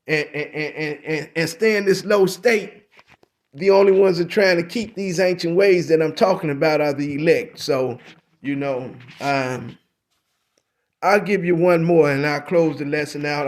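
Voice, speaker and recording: Man, high-pitched voice, preaching scripture lesson, repetitive street-corner preacher cadence. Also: darkish tone. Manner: repetitive, preachy, reads verses aloud slowly. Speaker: religious teacher.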